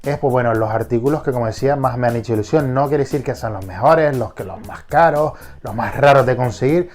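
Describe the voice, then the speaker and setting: A man's voice: medium pitch, fairly quick, darkish tone, soft background music. A man hosting a casual collector vlog, nasal and congested from a cold, enthusiastic and often restarting his sentences. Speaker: collector vlogger.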